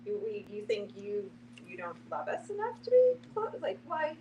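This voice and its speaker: A woman's voice: fairly high pitch, huge pitch swings, moderate pace. Woman in casual discussion, distant and noisy. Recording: distant mic with clear background noise.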